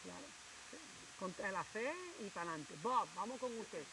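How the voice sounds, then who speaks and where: A woman hosting a television interview, empathetic and quick to interject. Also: mid-range voice, animated. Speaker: TV host. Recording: hissy TV broadcast audio.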